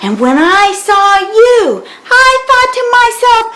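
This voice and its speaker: A squeaky little porcupine girl. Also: big pitch swings, takes it slowly, fairly bright tone, heavily acted. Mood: pleading. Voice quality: squeaky, high and bubbly. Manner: eager, pleading, giggly. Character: squeaky young female porcupine, sweet and pushy. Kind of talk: storybook audio acting.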